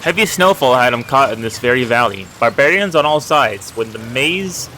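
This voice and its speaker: A friendly, humble miller from a historical video game, acted out by a man. He talks in a folksy, storytelling way with a bright, forward voice. A little noise sits behind him. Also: medium pitch, expressive, moderate pace, low-quality recording. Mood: eager storytelling. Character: friendly, humble village miller who loves old legends. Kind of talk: reading video game dialogue aloud.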